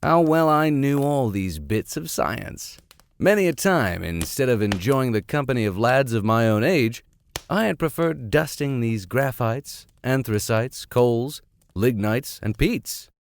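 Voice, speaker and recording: A man's voice: mid-range voice, lively intonation, measured pace, gravelly. A man hosting a reading of classic books, playful and sardonic, slipping between narration and offhand tangents. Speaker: host and reader of a classics podcast. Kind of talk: audiobook reading with comic tangents.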